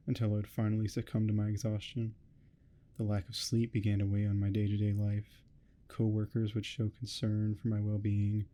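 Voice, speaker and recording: A man's voice: on the low side, warm tone, natural voice. A man playing an obsessive, menacing neighbor who longs to be a vampire, in a theatrical ASMR roleplay monologue. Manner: theatrical, grandiose monologuing, smugly threatening.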